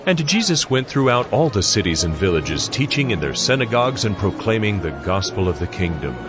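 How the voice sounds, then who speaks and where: A man's voice: fairly low pitch, sing-song, fairly quick. A man narrating a dramatized audio Bible in a solemn storytelling manner. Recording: music behind the voice. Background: background music.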